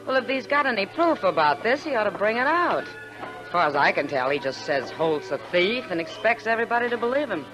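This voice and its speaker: A woman's voice: medium pitch, sing-song, a little fast, lightly acted, poor audio. An actress playing a plain-spoken American frontier saloon woman in a 1950s radio western, casual and friendly. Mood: curious, familiar. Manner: casual, frank. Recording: old radio recording, music behind.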